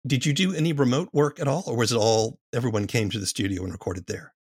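A man with a lowish, husky voice interviews a guest in casual chat. The sound is dry and close, with a creaky edge to his words. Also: fast talker, dry recording. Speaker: podcast interviewer.

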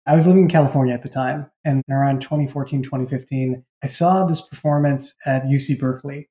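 Mellow man in an echoey room. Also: mid-range voice, fairly even intonation, fast. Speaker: writer and audio drama creator. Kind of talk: casual interview talk. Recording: roomy, echoey sound.

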